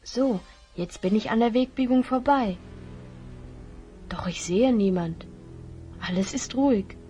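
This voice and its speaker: A woman's voice: a little high, a little slow, mellow, dull audio, faint music behind, lightly acted. A woman playing an earnest young boy hero stranded in a fantasy world, in a children's audio drama. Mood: pensive.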